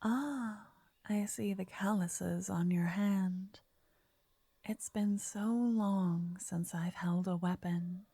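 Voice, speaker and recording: Slow, soft, breathy fortune teller girl, acted by a woman. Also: mid-range voice. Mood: warm, intrigued. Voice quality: soft, breathy, half-whispered. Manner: slow, soothing, coaxing, gently teasing. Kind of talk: ASMR roleplay, intimate scripted address to listener. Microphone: close, dry microphone.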